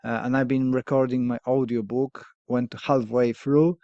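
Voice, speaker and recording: A man's voice: mid-range voice, little pitch movement, normal speed, no echo, highs cut off. Polish-accented man, thoughtful and hesitant. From Poland. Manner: thoughtful, hesitant, with fillers and soft laughs. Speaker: podcast host. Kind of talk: candid casual conversation.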